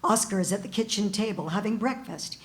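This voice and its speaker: A woman's voice: medium pitch, brisk, slight background noise. A woman reading script stage directions aloud, matter-of-fact, at a live table read.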